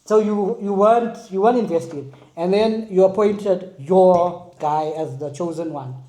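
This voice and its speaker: A man with a high but mellow voice leads a casual football chat. He argues hard, cuts in on others and restarts his sentences. The room around him rings with echo. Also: a little slow. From Manchester United supporter. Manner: animated, talks over others, trails off and restarts. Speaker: podcast co-host. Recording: echoey room.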